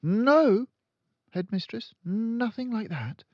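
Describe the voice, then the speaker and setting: Storybook role: meek, earnest lady teacher played by a man, high pitch, slightly dull recording. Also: lively intonation, slow, no echo, full character voice. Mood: nervous, polite. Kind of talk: children's storybook read-aloud.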